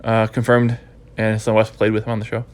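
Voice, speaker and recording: Man host, stuffy and congested, rambling casual chat, low smooth voice. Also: completely flat delivery, fast, a little mellow. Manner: rambling, repeats words while thinking. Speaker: podcast host. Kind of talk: casual podcast recap chat.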